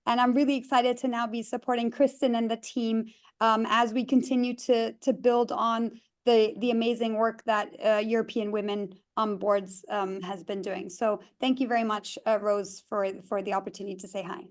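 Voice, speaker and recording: A woman's voice: a little high, level tone, normal speed. A woman from the Netherlands, a leadership consultant, introducing herself on a webinar over a video call. She is friendly and chatty, with frequent fillers.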